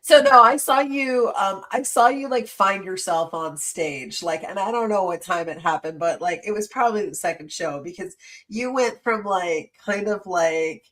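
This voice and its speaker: A woman in her forties hosting a late-night talk show, swearing freely, laughing often and acting out quotes in animated chat. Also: mid-range voice, moderate pace. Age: 40s. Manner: animated storyteller, acts out quotes. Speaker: host. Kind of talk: casual chat.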